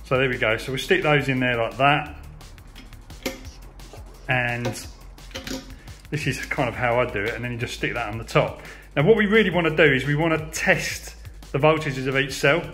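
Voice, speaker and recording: A man's voice: medium pitch, moderate pace, long pauses, a bit bright, soft background music. A man narrating a casual hands-on tutorial, explaining informally step by step as he works. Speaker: hobbyist demonstrator.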